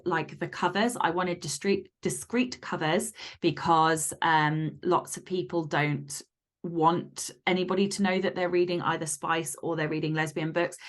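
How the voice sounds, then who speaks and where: A woman with a moderately low voice talks as a guest author in a relaxed interview format. She is candid and informal, spelling out her thinking in detail as she goes. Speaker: podcast guest, fiction author. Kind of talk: casual interview chat.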